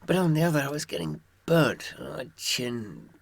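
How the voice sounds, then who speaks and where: A man's voice: medium pitch, big pitch swings, normal speed, creaky, dry recording. An older man, telling anecdotes and giving advice from experience in a wry, droll raconteur's manner. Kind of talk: anecdotal storytelling to listeners.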